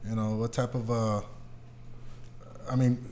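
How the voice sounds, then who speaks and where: A man's voice: medium pitch, little pitch movement, measured pace, dark tone, slight background noise. A man hosting a podcast, chatting informally and bluntly and putting questions to the others. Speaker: podcast host. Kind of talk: casual chat.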